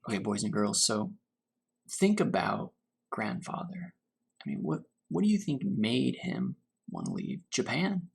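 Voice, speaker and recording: Animated male teacher reading a storybook aloud. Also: fairly low pitch, vocal fry, breathy edge. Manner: pauses to pose questions to young listeners. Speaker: teacher reading to fourth graders. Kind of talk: read-aloud storybook narration with questions to children.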